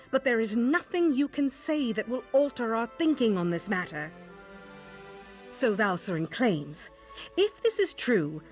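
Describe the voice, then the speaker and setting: A woman playing a proud, distrustful high elf scholar in a video game, haughty and formal. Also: natural voice. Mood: stern. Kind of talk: scripted video game dialogue.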